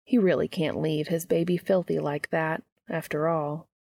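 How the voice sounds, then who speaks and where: A woman's voice: low-pitched, fairly even intonation, moderate pace, creaky, a little mellow, no echo. A woman reading a scripted story as an audiobook-style narrator. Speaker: audiobook narrator of fan fiction.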